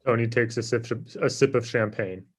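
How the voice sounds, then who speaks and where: A man's voice: medium pitch, normal speed, husky. A man narrating stage directions for a scripted table read.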